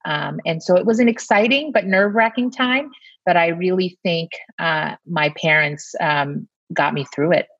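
A woman from India co-hosting a casual podcast, speaking in a measured way with frequent ums. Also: fairly low pitch, dry recording, lo-fi sound. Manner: warm, measured, many ums. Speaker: pediatrician podcast co-host. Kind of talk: casual conversational chat.

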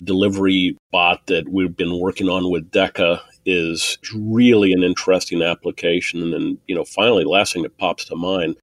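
Deep-voiced middle-aged man, dry sound. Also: fairly even intonation, normal speed, hardly any pauses, no room sound. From born in Taiwan, raised in an Air Force family. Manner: thoughtful, many restarts and repeated words. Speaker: guest, technology executive. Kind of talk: podcast interview answers.

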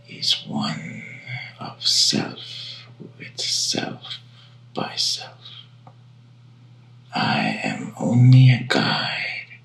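Slow, low, gravelly male meditation guide. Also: pushed and bright. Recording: roomy echo with music behind. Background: singing bowl tones.

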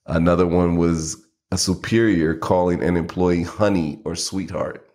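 A man with a very low voice co-hosting a podcast in easygoing conversation. He tells anecdotes, often restarting his sentences as he goes. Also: fairly even intonation, normal speed, a little mellow. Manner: storytelling, frequent restarts and fillers. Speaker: podcast co-host. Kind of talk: casual chat.

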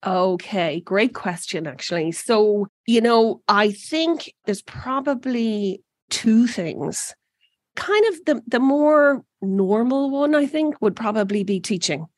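A woman's voice: a little high, expressive, slow pace, dry recording. Irish woman, dry close sound. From Ireland. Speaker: energy company president, guest. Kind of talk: interview conversation.

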